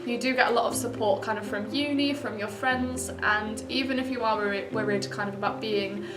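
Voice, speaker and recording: An upbeat young woman with a somewhat high voice talks about her studies. She fills her speech with soft hedges. The recording sounds roomy and distant, with music behind her. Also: fairly even intonation, fairly quick. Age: young adult. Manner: upbeat, many "kind of" fillers. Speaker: physiotherapy student. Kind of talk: casual talk to camera.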